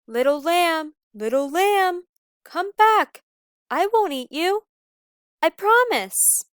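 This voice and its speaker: A woman voicing an exasperated but soft-hearted old male wolf in a storybook, with dramatic, groaning delivery. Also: very high-pitched, lively intonation, slow pace, dry recording, studio quality, voice pushed up, full character voice. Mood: anguished, pleading. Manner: dramatic, groaning and howling exclamations.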